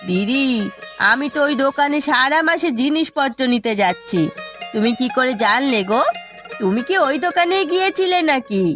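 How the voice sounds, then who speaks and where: A woman's voice: high-pitched, animated, normal speed, a bit bright, soft background music. A woman voicing a caring but firm village neighbour in a cartoon, with a familiar, chiding manner. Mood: curious. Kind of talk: Bengali cartoon voice acting.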